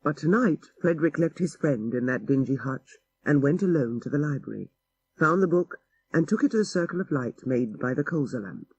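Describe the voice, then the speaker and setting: A man reading a literary story as an audiobook narrator, in a measured and expressive manner. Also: a little high, gravelly, slightly rough, muffled recording.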